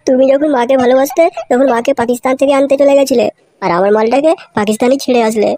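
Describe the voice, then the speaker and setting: An actor voicing a whiny, excitable young son in a comedy cartoon, repeating phrases. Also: high-pitched, fast, natural voice. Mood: sulky. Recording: music behind the voice.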